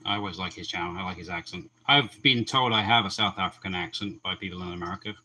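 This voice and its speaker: Man, very low voice, relaxed teasing co-host on a home stream. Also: quick pace, low-quality recording. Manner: easygoing, teasing, repeats words when starting. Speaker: co-host of an aquarium livestream. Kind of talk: casual chat.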